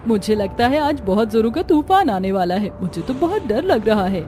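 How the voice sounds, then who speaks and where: A woman's voice: a little high, big pitch swings, moderate pace, background noise. A woman playing a practical young village daughter-in-law in a scripted cartoon story. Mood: worried.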